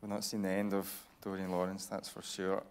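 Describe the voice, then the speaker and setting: A man interviewing on stage in a measured, probing way. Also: low-pitched, completely flat delivery, clear, resonant tone. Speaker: interviewer, TV reporter. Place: radio theatre stage.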